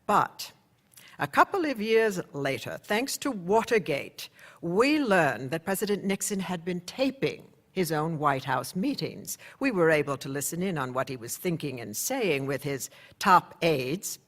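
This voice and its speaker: A man's voice: a little high, sing-song, fairly bright tone. Man narrating a newsroom memory. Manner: measured, conversational storytelling. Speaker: narrator, newspaper insider. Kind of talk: dramatic first-person narration.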